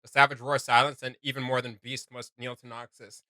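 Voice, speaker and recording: A man hosting a reaction video, chatting casually in an animated, rambling, speculative way. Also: mid-range voice, brisk, no room sound. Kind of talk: casual chat, reacting to game voice lines.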